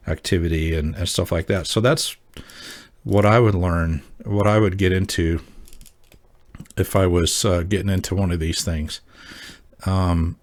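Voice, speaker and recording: A man with a low voice talks informally, like a hobbyist host walking viewers through his equipment. He rambles a little and thinks aloud, keeping the explanation casual and unscripted. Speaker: host of an amateur radio hobby channel. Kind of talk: casual product demonstration and explainer.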